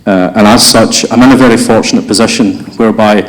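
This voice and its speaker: A man's voice: fairly low pitch, fairly even intonation, fast, poor audio. A man giving a prepared candidate speech at a business group election, earnest, with many ums and uhs. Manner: earnest, filler-heavy delivery. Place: hotel function room.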